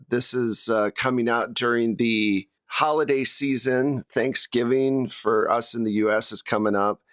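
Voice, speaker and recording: American guy hosting a podcast, chatty, mid pitched voice, really dry close sound. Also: fairly bright tone, dry recording. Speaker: host and game master of an actual-play podcast.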